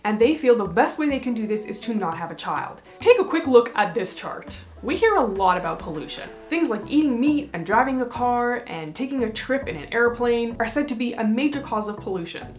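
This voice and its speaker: A woman's voice: fairly high pitch, big pitch swings, brisk, few pauses, soft background music. A young woman from Canada talking casually to camera as a vlogger, chatty and opinionated as she explains numbers.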